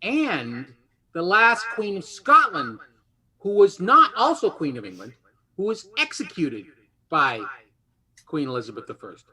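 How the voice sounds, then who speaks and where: A man with a high, bright voice hosts a quiz show. He reads questions to the players and trades playful, teasing banter with them. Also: wildly swooping pitch, unhurried, husky, rough recording. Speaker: game show host.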